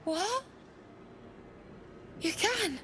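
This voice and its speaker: An actress playing a desperate, grieving young widow in a video game, anguished and emotional. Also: female voice, very high-pitched, lively intonation, drawn-out words, sharp tone, a little hiss or hum, exaggerated voice. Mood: weary sorrow.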